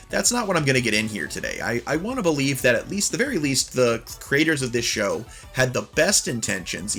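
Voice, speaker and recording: A man's voice: medium pitch, a bit bright. A man hosting a video, reading a scripted commentary in a nasal voice as he recovers from the flu, with earnest, opinionated asides. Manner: earnest, opinionated asides between read passages. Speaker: YouTube host.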